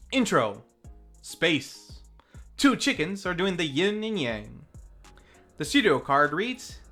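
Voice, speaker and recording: A review host narrating from a script, with theatrical flourishes and wry deadpan asides. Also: medium pitch. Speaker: host of a film review series.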